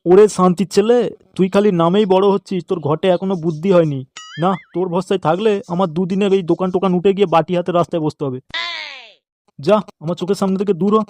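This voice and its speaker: Irascible stingy shop owner, male actor, high, rapid, exasperated cartoon scolding over background noise. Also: steady tone, darkish tone, lightly acted. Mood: furious exasperation. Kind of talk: Bengali comedy cartoon voice acting.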